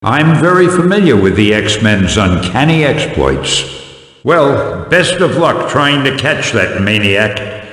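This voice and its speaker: Jovial, folksy game character, male actor: lowish lively voice, wisecracking, echoey recording. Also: slow pace. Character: chatty, genial, wisecracking friend to superheroes. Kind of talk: fan-made video game voice acting. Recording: echoey, long reverb.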